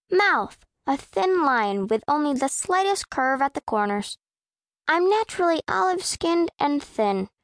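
High-voiced, self-possessed young girl. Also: no echo, studio quality. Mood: composed, wry. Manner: precise, composed, matter-of-fact. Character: self-possessed, proud, scowling eleven-year-old girl narrator. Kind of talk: first-person audiobook narration of children's fiction.

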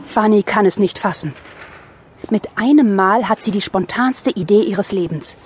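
A woman's voice: medium pitch, normal speed, a little mellow, slight background noise. A woman narrating an audio drama in a scripted storytelling style.

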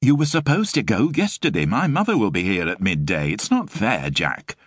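A man's voice: on the low side, big pitch swings, moderate pace, slightly rough, no echo, studio quality, pitched above the performer's own voice. A man voicing an exasperated young mother who scolds her lodger. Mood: scolding. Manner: nagging, scolding. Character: exasperated young woman, sharp-tongued single mother. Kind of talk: audiobook character voice.

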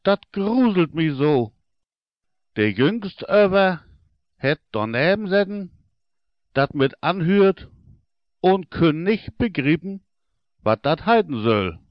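A man with a Low German accent narrating a fairy tale for children in a deliberate storytelling manner. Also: big pitch swings, no echo, dull audio. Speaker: audiobook narrator.

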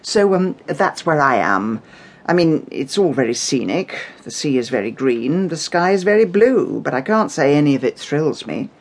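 An impatient, grumbling British woman of middle age. Her voice is a little rough-edged and moves up and down with lively sarcasm. The delivery is clipped radio-drama comedy. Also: deep voice, moderate pace, full character voice. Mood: dry, grumbling.

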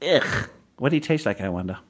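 A man's voice: mid-range voice, expressive, fairly quick, slightly rough. A man playing a polite, erudite professor of the paranormal, formal and wordy, in a radio play. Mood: curious, appalled.

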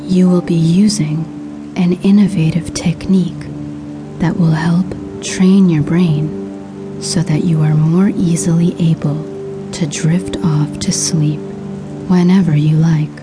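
Woman, guided hypnosis narration, slow pace, soothing hushed delivery, long pauses, music and rain behind. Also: deep voice, steady tone. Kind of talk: guided sleep hypnosis, scripted meditation narration.

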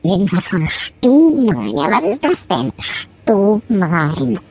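A woman voicing a hungry, proud young male shepherd dog in a comedy audio sketch, grumbling to himself in an inner monologue with wild swings in pitch. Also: huge pitch swings, moderate pace, mellow, full character voice. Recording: clear background noise.

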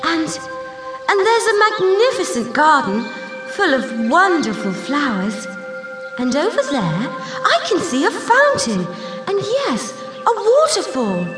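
A woman playing a curious, excitable little girl who talks to herself in wonder, in a dramatised children's audiobook. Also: high voice, takes it slowly, echoey room, over music, exaggerated voice. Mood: amazed.

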